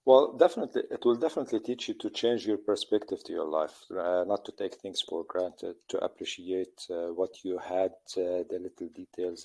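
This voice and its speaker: A middle-aged football coach from Lebanon answering interview questions in halting English full of pauses and fillers. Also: male voice, sits low, a little mellow.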